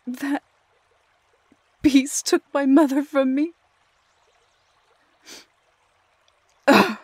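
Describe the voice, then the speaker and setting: A woman acts out a heartbroken young woman lost in a storybook forest. Her high voice is slow and full of breath, often sinking toward a whisper. The delivery is halting and emotional, in the manner of an audio roleplay. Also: a little mellow, husky, no echo. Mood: bitter, anguished.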